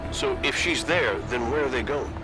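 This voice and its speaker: An actor playing a spirited, plain-spoken soldier with a punchy, informal delivery in a science fiction game. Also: male voice, mid-range voice, moderate pace, lightly acted, rough recording. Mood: serious. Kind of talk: video game military drama acting. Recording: loud music and game sound behind.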